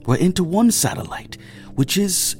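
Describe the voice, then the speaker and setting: An actor in an audio drama playing a cautious, earnest male technician, measured and hesitant. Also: mid-range voice, animated, a little mellow, soft background music, lightly acted. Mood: cautiously hopeful.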